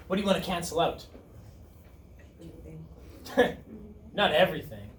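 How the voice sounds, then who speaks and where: A man in his thirties teaching a chemistry review class, talking in a dry, fairly monotone way and quizzing his students as he works through problems. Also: fairly high pitch, unhurried, slight background noise. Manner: dry, self-described monotone, asks students questions. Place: high school classroom. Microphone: far camera at the back of the room. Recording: roomy classroom sound from a distance with students around.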